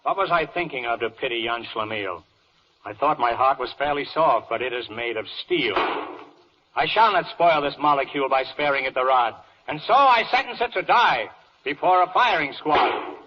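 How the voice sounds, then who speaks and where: An actor playing a vain, bloodthirsty, fickle judge, blustering in theatrical rhyme in a 1940s radio verse comedy. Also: male voice, medium pitch, lively intonation, normal speed, slight background noise, natural voice. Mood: gleefully wrathful. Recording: muffled old radio broadcast.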